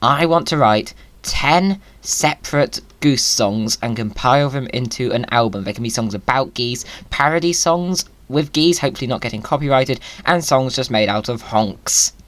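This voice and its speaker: A man's voice: mid-range voice, a bit bright. A man giving casual gameplay commentary as a video creator, rambling in a whimsical, self-amused way.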